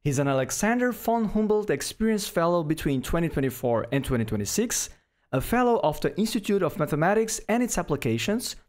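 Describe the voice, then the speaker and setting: High-pitched male podcast host. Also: brisk. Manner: formal, reads bio at a steady clip. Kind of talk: interview with read introduction. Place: small home office. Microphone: studio microphone on boom arm.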